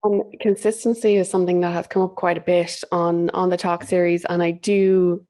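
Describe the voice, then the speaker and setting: A woman hosts a relaxed business talk in a somewhat low voice. She thinks aloud as she frames her points, with frequent pauses and fillers. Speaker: host. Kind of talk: casual business chat.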